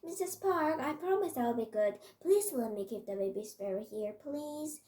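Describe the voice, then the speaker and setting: A woman voicing a timid, tearful, tender-hearted schoolgirl in a read-aloud story, pleading haltingly. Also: high-pitched, pitched above the performer's own voice. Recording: roomy echo.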